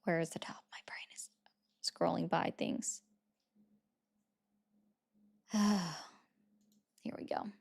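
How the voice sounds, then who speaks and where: A woman's voice: on the low side, steady tone, drawn-out words, creaky, long pauses, darkish tone, whispered. A woman hosting a casual political livestream, chatting with viewers and thanking them for gifts.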